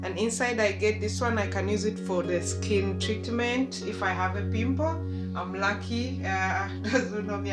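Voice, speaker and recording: A woman's voice: medium pitch, recorded from a distance. A woman, chatting casually as she shows her home-grown plants. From Uganda. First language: Luganda. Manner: chatty, with frequent restarts, explaining plants. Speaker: gardening vlogger. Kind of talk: casual show-and-tell. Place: home balcony. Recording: roomy, off-mic, loud music behind.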